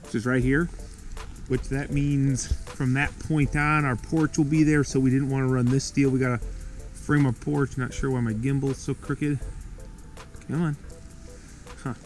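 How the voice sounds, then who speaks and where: A man's voice: medium pitch, little pitch movement, unhurried, darkish tone, soft background music. A man filming himself on a snowy outdoor building site with a head-mounted camera, explaining his work in a plain, practical way. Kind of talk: casual how-to walkthrough. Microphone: head-mounted action camera.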